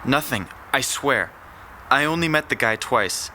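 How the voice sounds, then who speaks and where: A man's voice: medium pitch, animated, measured pace, pushed and bright. Shifty young thug pleading his case, tense edgy voice, bit of noise behind. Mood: nervous, defensive. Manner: pleading, evasive. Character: young male petty thug, defensive, cowed, self-excusing. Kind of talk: audio drama acting.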